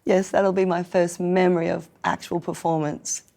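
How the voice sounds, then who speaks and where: An Australian woman from Newcastle, hoarse and croaky after singing sick the night before, telling stories playfully in a casual interview. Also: lowish voice, little pitch movement, normal speed, warm tone. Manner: playful, theatrical storyteller with frequent laughs. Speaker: guest performer.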